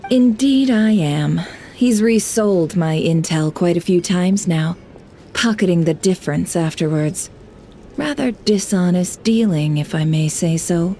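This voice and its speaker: A woman voicing a composed, shrewd intel broker in a video game, speaking in a formal, measured, coolly teasing way. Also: fairly low pitch, warm tone, slight background noise. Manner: formal, measured, understated menace.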